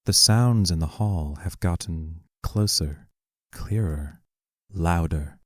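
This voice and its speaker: A man with a low voice narrates stage directions for an audio drama. He is slow, measured and matter-of-fact, in a dry, close recording. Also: no room sound. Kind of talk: scripted narration of audio play.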